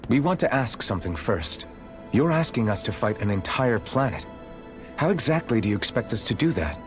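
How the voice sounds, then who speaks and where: A man's voice: medium pitch, sing-song, moderate pace, over music, close to an everyday voice. A man playing a resolute young fantasy hero in a video game, serious and plain-spoken. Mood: challenging. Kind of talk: scripted video game cutscene acting.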